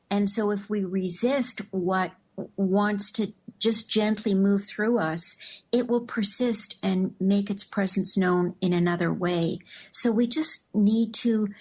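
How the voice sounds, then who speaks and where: Woman, mid-pitched voice, talk show guest, thoughtful, measured, conversational explanation.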